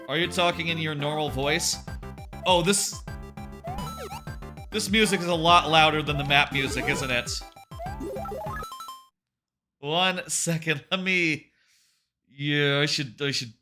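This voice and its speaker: A man livestreaming a game, talking casually in a loud voice that rambles and corrects itself, sitting about an arm's length from his microphone. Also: a little high, slow, long pauses, music in the background. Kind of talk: casual live gameplay chat.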